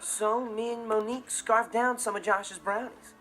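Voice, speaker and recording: A man's voice: sits high, steady tone, moderate pace, a bit bright, faint music behind. A young American man playing a cocky, mischievous, laid-back teenage boy in a sitcom, talking to the audience in a casual, wisecracking way. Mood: amused. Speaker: sitcom actor. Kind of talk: comedic monologue to the audience.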